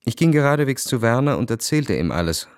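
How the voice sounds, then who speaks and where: An actor playing a cynical, world-weary young officer narrating his diary in a cool, measured, introspective way. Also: medium pitch, sing-song, smooth, dry recording, clean studio sound, natural voice. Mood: matter-of-fact. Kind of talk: literary radio drama narration, diary-like first person.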